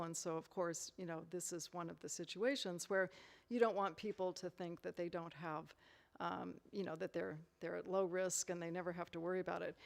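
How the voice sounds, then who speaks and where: A woman's voice: lowish voice, vocal fry. A woman lecturer in a hall, answering audience questions thoughtfully with lots of "you know" fillers and restarts. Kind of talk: conference Q&A answers.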